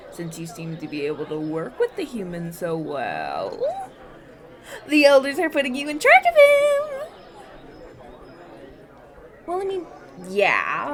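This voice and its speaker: A woman playing an excitable, supportive young villager in a fantasy audio roleplay, chatty, teasing and informal. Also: mid-range voice, full character voice. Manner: gushing, informal, emphatic.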